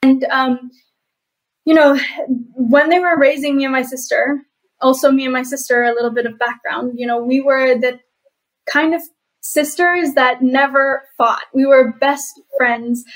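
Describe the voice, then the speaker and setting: A woman's voice: high voice, steady tone, unhurried, rough recording. A young woman from Bali, an activist guest answering interview questions in an earnest, reflective way with frequent fillers. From Bali, Indonesia. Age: young adult.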